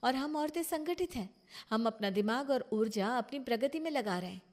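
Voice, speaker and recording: A woman's voice: on the high side, animated, moderate pace, voice pushed up, lightly acted. A woman voicing a wronged, defiant young wife and mother in a cartoon moral story, indignant and emphatic. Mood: proud, defiant.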